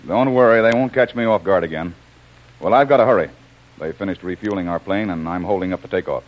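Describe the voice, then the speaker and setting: Man playing a fast-talking, low-voiced radio agent. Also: close to an everyday voice. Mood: dutiful, brisk. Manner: clipped, businesslike. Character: brisk, confident male government agent. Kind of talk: old-time radio adventure acting. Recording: dull old radio recording.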